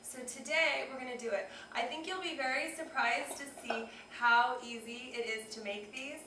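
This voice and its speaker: A woman hosting a casual home cooking demonstration, a little hoarse, talking chattily as she works. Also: sits high, normal speed, pushed and bright, a little hiss or hum. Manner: chatty, friendly instructional tone. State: slightly hoarse. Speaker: cooking show host. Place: home kitchen. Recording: echoey kitchen, mic at a distance.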